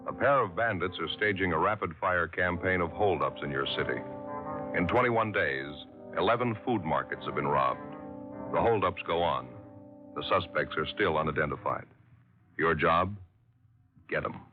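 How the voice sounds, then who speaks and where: Deep, grave vintage radio announcer man. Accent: American. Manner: grave, measured, punchy short sentences. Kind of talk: 1950s radio scripted narration, dramatic second-person. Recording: narrow, tinny vintage radio broadcast. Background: brass music.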